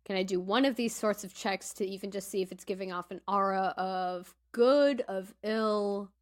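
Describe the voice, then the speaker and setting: A woman's voice: medium pitch, moderate pace. A woman player chatting casually during a tabletop game, asking questions and describing what her character does. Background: faint game music.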